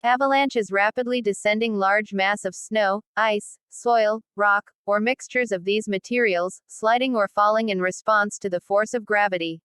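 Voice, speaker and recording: Woman reading out passages, crisp clear voice, formal, super dry sound. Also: mid-range voice, steady tone, normal speed, hardly any pauses, fairly bright tone, dry recording.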